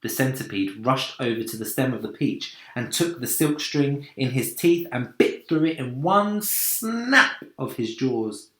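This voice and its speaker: Male storybook narrator, theatrical and animated, roomy sound. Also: medium pitch, measured pace, hardly any pauses. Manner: dramatic, theatrical. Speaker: storybook reader, teacher. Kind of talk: expressive children's story narration. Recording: roomy echo.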